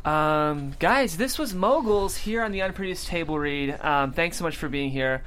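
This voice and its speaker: A man's voice: fairly high pitch, normal speed, fairly bright tone. A man hosting a casual panel show, speaking in an effusive, gracious way over a noisy recording. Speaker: show host. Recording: clearly noisy room sound.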